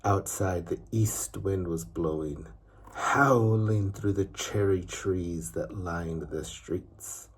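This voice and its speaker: A man narrating a children's story expressively, with deliberate phrasing and dramatic pauses. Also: low voice, breathy edge.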